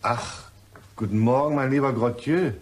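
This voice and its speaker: An actor playing a shifty, irritable gentleman in a crime radio play, slippery and impatient in manner. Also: mid-range voice, normal speed, slight background noise, close to an everyday voice. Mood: evasive, polite. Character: shifty, irritable gentleman employee suspected of embezzlement.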